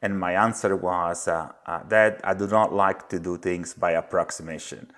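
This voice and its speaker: A middle-aged man from Italy with an Italian accent, a company founder speaking as a podcast guest, pausing often on "uh". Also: deep voice, steady tone, a bit bright. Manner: many "uh" fillers, unhurried. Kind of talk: podcast interview.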